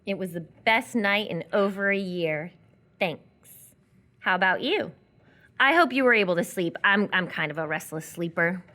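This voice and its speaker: A woman's voice: mid-range voice, animated, moderate pace, pushed and bright. An actress playing a lonely, guarded young woman with a dry, blunt, wounded way of talking in live radio theatre. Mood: hopeful, probing.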